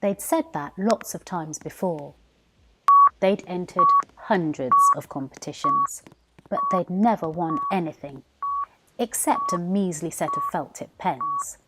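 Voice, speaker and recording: A woman storyteller reading a children's story in expressive scripted narration. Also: lowish voice, measured pace, very noisy. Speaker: professional storyteller.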